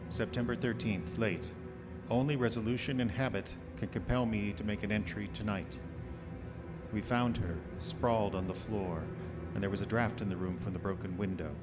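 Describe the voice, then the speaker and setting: An actor playing an earnest doctor narrating his journal in formal, measured diction in a radio horror drama. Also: fairly low pitch, fairly even intonation, natural voice. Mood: grave narration. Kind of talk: old-time radio horror drama acting. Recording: live radio play under loud music.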